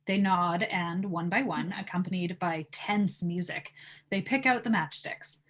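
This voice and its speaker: A woman's voice: fairly low pitch, unhurried, a bit bright. A woman reading stage directions as scripted narration at a table read.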